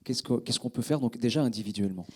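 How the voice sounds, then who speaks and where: A man moderating a talk, putting brisk, halting questions with repeated words to the speaker. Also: mid-range voice, husky.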